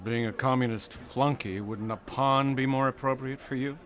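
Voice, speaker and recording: An actor playing a spoiled, boastful, hard-drinking rich heir in a radio drama, with a petulant, cocky way of talking. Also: male voice, medium pitch, fairly even intonation, normal speed, a bit bright, a faint crowd, close to an everyday voice. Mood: cocky, mocking. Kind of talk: Cold War radio drama acting.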